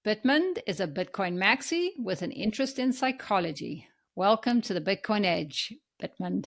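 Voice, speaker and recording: A woman speaks as a podcast host in a conversational interview style. Her voice sits at an average pitch for a woman, and she talks as someone guiding a guest along. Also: lively intonation, moderate pace, vocal fry.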